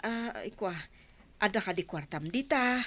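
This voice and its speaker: A woman's voice: medium pitch, lively intonation, a little slow, a bit bright. A woman playing a worried, pleading grandmother in an Ilocano radio drama.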